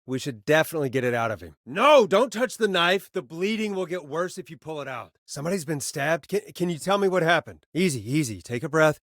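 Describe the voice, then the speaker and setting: A man playing a steady, weary radio host who guides callers through an emergency in a video game, speaking in an earnest, conversational, slightly hesitant way. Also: fairly high pitch, fairly quick, few pauses, dry recording, clean studio sound. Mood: urgent, instructing, then urgent, tense. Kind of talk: naturalistic video game voice acting.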